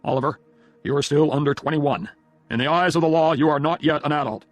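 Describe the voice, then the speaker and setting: A man's voice: a little high, fairly quick, no echo, soft background music, voice pushed up, lightly acted. A man voicing a stern, controlling older father in an audiobook, speaking in a formal, admonishing way. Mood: stern, commanding. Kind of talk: audiobook character dialogue.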